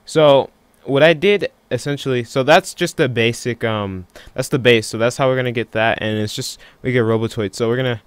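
A man giving a casual software tutorial in an offhand, unscripted way, thinking aloud as he works. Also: mid-range voice, fairly quick, hardly any pauses, clear, resonant tone, dry recording. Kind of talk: casual screen-recording tutorial.

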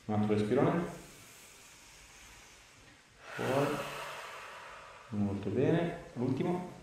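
A man's voice: mid-range voice, lively intonation, takes it slowly, mellow. A man, working as an osteopath and giving short exam cues and questions to a patient. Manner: short, repeated coaching cues. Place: small clinic treatment room. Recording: roomy echo, distant mic, faint noise.

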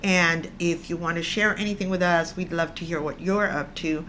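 A woman's voice: fairly low pitch, steady tone, moderate pace, a bit bright, slight background noise, rough recording. A woman in her fifties co-hosting a casual vlog, chatty and opinionated, often laughing, seated on a sofa indoors. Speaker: vlog co-host. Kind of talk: casual chat. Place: indoors, living room with orange sofa.

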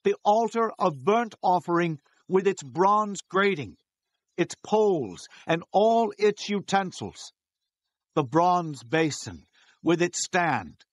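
A solemn bible prophet leader, really slow and deliberate, commanding, very dry close recording. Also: male voice, sits high, big pitch swings, no echo, exaggerated voice. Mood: solemn, instructing. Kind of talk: dramatized audio Bible acting.

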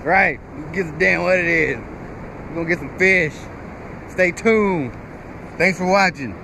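A man with a slightly high voice chats in an easy, unhurried way as he shows off his fishing gear. He is outdoors on a windy beach, and clear wind and surf noise runs under his voice. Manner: laid-back, chatty, joking. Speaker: fishing vlogger. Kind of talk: casual outdoor vlog.

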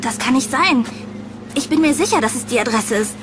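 A woman's voice: high voice, animated, brisk, music in the background. A woman playing a worried, insistent young woman in a horror audio drama, earnest and anxious. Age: young adult.

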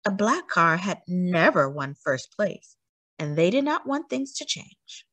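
A woman reading a children's book aloud as a storyteller, with expressive, emphatic delivery for young listeners. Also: fairly low pitch, unhurried, no echo.

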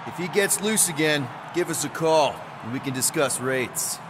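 A man's voice: on the high side, lively intonation, moderate pace, noisy background, lightly acted. A man playing a cocky, easygoing space adventurer in a video game, with a jokey, self-congratulatory manner. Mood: wry. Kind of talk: video game character acting.

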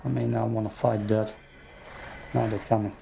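Gravelly Austrian man streaming a game. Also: fairly low pitch, flat delivery, normal speed, warm tone, faint music behind. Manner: chatty, muttering to himself, frustrated asides. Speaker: gameplay streamer. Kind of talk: casual let's play commentary.

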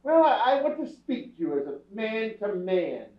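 A blustery, exasperated head of a rich household in an old radio comedy, played by a man. He is curt and emphatic in a high-pitched voice, recorded from far off in an echoey hall. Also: big pitch swings, moderate pace. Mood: grimly determined. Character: blustery, exasperated, broke rich family father. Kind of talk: staged old-time radio comedy acting. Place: indoor theatre stage, distant camera. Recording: distant, echoey, dull theatre stage recording.

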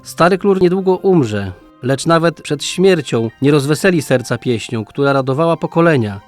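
A man's voice: on the high side, lively intonation, normal speed, soft background music, close to an everyday voice. A man playing a bitter subject of a fairy-tale kingdom, resigned and reproachful, in a children's radio play.

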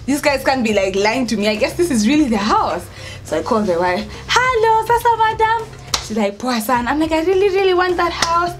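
A woman's voice: sits high, very animated, fairly quick, soft background music. A young woman with a Kenyan accent telling a story casually to camera, dramatic and acting out the dialogue as she goes. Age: young adult. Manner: dramatic, acts out dialogue, code-switches into Swahili. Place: indoors by an open doorway at home.

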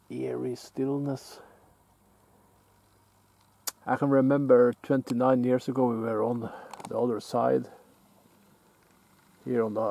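Norwegian guy rambling by the lake, husky dark voice, stops and restarts a lot. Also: mid-range voice. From Norway. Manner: relaxed, musing, halting with restarts. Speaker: outdoor vlogger. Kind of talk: casual self-filmed commentary. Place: lakeside outdoors, off camera.

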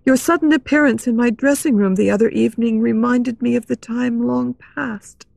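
A woman's voice: a little high, steady tone, normal speed, vocal fry, darkish tone, no echo, pitched above the performer's own voice. A woman playing a shy, emotional young woman who speaks guardedly in an audiobook story. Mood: flustered, defensive.

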